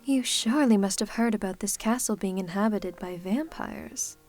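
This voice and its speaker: A woman playing a gracious, commanding, centuries-old vampire hostess in an ASMR roleplay, speaking formally with gentle teasing. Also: mid-range voice, normal speed, faint music behind, close to an everyday voice. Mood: reassuring, poised.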